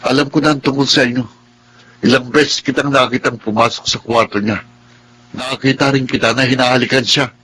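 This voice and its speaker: A man's voice: medium pitch, steady tone, moderate pace, long pauses, bright, forceful tone, a little hiss or hum, lightly acted. A man with a Filipino accent playing an earnest, jealous young household worker in a radio drama. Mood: accusing.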